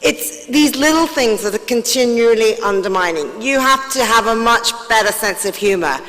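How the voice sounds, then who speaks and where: A woman with a loud voice answering on a panel, emphatic and fond of rhetorical questions. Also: fairly high pitch, animated, moderate pace. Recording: long reverb of a conference hall.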